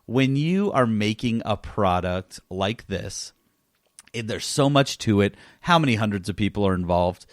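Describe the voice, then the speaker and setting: A man's voice: on the low side, animated, unhurried, dry recording, studio quality. A man co-hosting a podcast, chatting casually about gaming news and thinking aloud in an informal, emphatic way. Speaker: podcast co-host.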